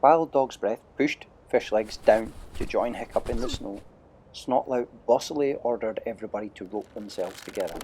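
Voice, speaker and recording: A man narrating a children's storybook audio play with lively, expressive storytelling. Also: on the low side, moderate pace, slight background noise.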